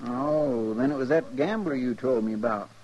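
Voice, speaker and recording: An actor playing an earnest, talkative, deferential deputy sidekick with a rural American accent in a 1950s radio western. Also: male voice, medium pitch, moderate pace, a little mellow, muffled recording, a little hiss or hum, natural voice. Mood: anxious. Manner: folksy, deferential. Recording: old 1950s radio recording.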